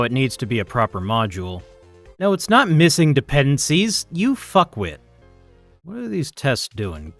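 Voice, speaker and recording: Grumbling man live coding over music. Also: medium pitch. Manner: muttering to himself, sweary, grumbling. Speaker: livestreaming programmer. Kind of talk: casual chat while live coding.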